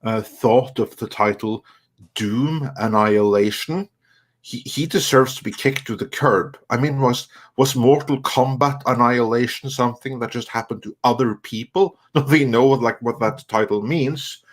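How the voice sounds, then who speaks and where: A man's voice: medium pitch, normal speed. A man hosting a live show with casual, opinionated chat.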